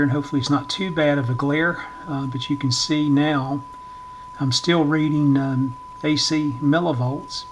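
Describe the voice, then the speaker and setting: A man giving an informal hands-on demonstration in short, halting, hesitant phrases, talking at a workbench indoors. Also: mid-range voice, slight background noise, rough recording. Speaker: electronics repair channel host, demonstrator.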